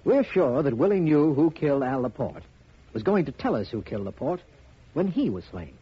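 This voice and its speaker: An actor playing a confident, emphatic, formal district attorney in a 1950s radio drama. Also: male voice, mid-range voice, sing-song, moderate pace, slightly rough. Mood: assured. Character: confident, brisk, self-assured district attorney. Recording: muffled, noisy old radio recording.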